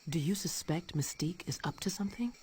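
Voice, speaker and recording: A man playing a grave, dignified mutant commander with a husky voice in a video game. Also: on the high side, animated, quick pace, a little mellow, slight background noise, natural voice. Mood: assured. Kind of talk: video game cutscene acting.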